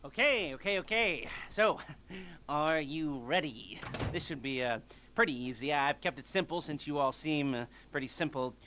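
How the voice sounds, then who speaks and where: A man's voice: on the high side, expressive, moderate pace, pushed and bright, slight background noise. A man playing a nervy, sardonic middle-aged heist planner in a video game, rambling through mission briefings with hesitant, dry wisecracks. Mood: nervous, rambling.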